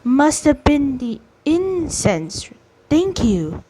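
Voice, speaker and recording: A woman giving casual playthrough commentary as a game streamer, halting and often repeating words. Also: high-pitched, big pitch swings, mellow, a little hiss or hum. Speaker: gaming streamer. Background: faint game music.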